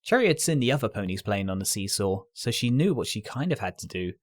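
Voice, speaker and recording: A man's voice: on the low side, very animated, fast. A man reading scripted story narration as the narrator. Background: music.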